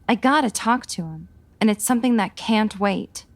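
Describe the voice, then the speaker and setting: A woman's voice: on the high side, normal speed, natural voice. An actress playing a worried, earnest showgirl in the melodramatic manner of 1940s radio noir. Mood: anxious, urgent.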